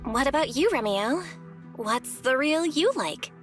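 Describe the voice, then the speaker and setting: An actress playing a friendly girlish companion in a video game, talking casually and gently over music. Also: high-pitched, animated, a little slow. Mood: knowing. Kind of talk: video game story dialogue.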